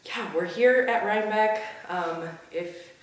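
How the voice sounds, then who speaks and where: A young woman with a hoarse, weak voice from laryngitis, chatting casually as a vlog co-host. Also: medium pitch, a little slow, very echoey, far from the mic. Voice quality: weak, whispery voice. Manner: chatty, laughs often. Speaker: podcast co-host.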